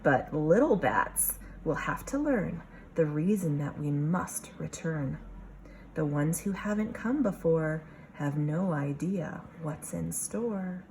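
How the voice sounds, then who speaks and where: Unhurried low-voiced woman teaching young children. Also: a little hiss or hum, low-quality recording. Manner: expressive, questioning, explaining to young children. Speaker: kindergarten teacher reading aloud. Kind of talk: children's picture book read-aloud with teacher asides.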